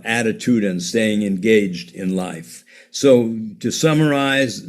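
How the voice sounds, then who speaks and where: Male presenter, halting sales pitch with plenty of ums and restarts. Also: darkish tone. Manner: hesitant, many fillers and restarts.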